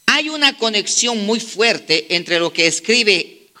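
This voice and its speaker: A man preaches with a high, very bright voice that cuts through. He drives his points home with repeated rhetorical questions to the congregation, speeding up and slowing down for emphasis. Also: lively intonation. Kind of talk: sermon.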